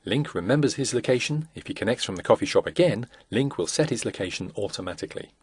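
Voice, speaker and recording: A man reading scripted corporate narration for a product demo in a measured, instructional way. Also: on the low side, lively intonation, slightly rough, no echo.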